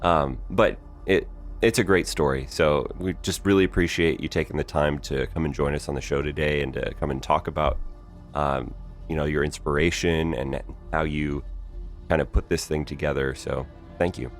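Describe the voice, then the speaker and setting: A middle-aged man co-hosting a podcast, chatting casually and appreciatively in halting phrases. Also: a bass voice, steady tone, soft background music. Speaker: podcast co-host. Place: remote online recording session.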